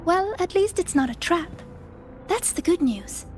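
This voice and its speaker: A curious young girl detective for an animated game. She speaks slowly in a high, girlish pitch, earnest and a little suspicious. Light music sits behind her. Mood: puzzled, suspicious. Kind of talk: animated video game voice acting. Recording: music under the voice.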